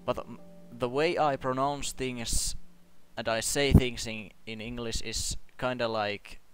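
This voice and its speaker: A man's voice: mid-range voice, steady tone. Hesitant Finnish-accented young man gaming. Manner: halting, searching for words, many restarts; startled yelps and shouting when scared. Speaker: gameplay commentator. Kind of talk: let's play commentary. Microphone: headset. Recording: loud game music behind the voice.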